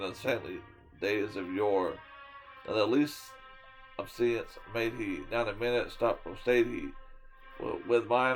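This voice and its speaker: A man giving a dramatic reading of a poem, swinging from loud declaiming to hushed whispers and sometimes tripping over a word. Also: mid-range voice, rough recording. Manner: stumbles and restarts words now and then. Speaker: storyteller reading a poem aloud.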